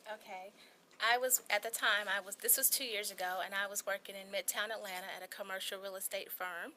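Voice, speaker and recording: A woman's voice: mid-range voice, steady tone, fairly quick, bright, forceful tone, slight background noise. A woman in her forties with a small, light voice, telling her own story as a guest on a talk show, earnest and candid. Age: 40s.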